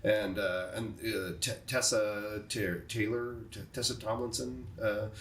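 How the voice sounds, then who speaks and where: A man's voice: on the low side, fairly even intonation, measured pace, a bit bright. Chatty man in a roomy echo. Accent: American. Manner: chatty, joking, thinks aloud with restarts. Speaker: podcast host, preaching coach. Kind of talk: casual podcast conversation. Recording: roomy echo, distant mic, light noise.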